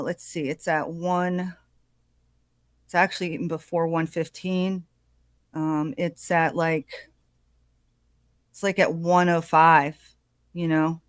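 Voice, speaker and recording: A woman's voice: on the low side, fairly bright tone, dry recording, studio quality. A woman doing casual true crime commentary in a hesitant way, with many ums and uhs.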